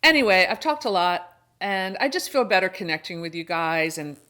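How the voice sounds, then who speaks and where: A woman vlogger chatting casually to her viewers in an expressive, exclamatory way, thinking aloud as she goes. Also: fairly low pitch, moderate pace, smooth. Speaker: host of a horror book channel. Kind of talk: casual chatty unboxing vlog.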